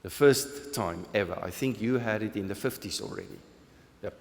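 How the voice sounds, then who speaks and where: A man's voice: lowish voice, moderate pace. A man from South Africa with a South African accent, a pastor giving an earnest, conversational sermon and taking questions. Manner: earnest, conversational, laughs at his own jokes. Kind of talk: sermon with open questions from the congregation. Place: church sanctuary. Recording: long hall reverb in a church.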